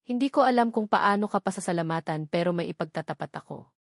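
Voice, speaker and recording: A woman's voice: fairly low pitch, fast, no room sound, studio quality, lightly acted. Woman narrator as an emotional, earnest Filipino mother. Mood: nervous confession. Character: emotional, grateful mother in a romance drama.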